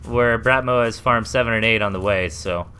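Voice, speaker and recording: A man's voice: on the low side, moderate pace, sharp tone, clean tone. A man hosting a live esports replay cast, giving chatty play-by-play with lots of fillers. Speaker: host caster. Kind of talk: live esports game commentary.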